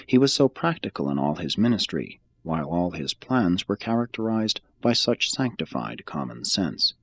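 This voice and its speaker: A man reading a religious text aloud as an audiobook, in a measured, solemn and formal manner. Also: lowish voice, creaky. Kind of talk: scripted read-aloud, audiobook narration.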